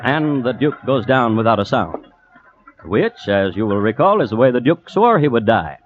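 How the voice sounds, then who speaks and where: A man's voice: mid-range voice, big pitch swings, slight background noise, natural voice. Wry, theatrical radio crime narrator, a man. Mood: droll storytelling. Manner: droll, deliberate, theatrical. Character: wry, erudite male connoisseur of crime. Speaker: host and narrator. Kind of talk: scripted old-time radio narration. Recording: muffled vintage radio recording.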